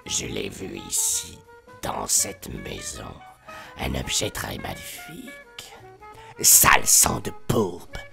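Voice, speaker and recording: A man voicing a bitter, contemptuous old fantasy house elf who mutters in a croak. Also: fairly low pitch, bright, forceful tone, voice pushed down, heavily acted.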